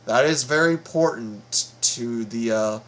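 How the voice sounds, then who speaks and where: A man hosting a reaction video, commenting casually in a halting, stammering way and repeating his words. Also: medium pitch, a little hiss or hum.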